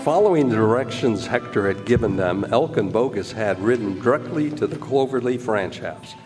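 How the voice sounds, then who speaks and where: A man's voice: on the low side, animated, normal speed. Dramatic male radio narrator over music. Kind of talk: dramatic scripted narration, old-time radio style. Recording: reverberant hall with music.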